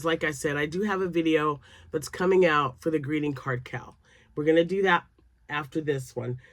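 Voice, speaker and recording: A woman's voice: low voice, no room sound. Nasal, chatty woman vlogger, dry sound. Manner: chatty and rambling, many fillers, laughs often. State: a little nasal and froggy from sinus drainage. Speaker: crochet vlogger. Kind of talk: casual chat to camera.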